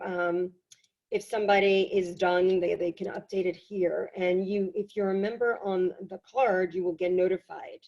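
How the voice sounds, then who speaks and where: A woman giving a casual software tutorial, explaining in a halting, conversational way, slightly hoarse from a cold. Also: mid-range voice. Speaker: workshop presenter. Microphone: video call.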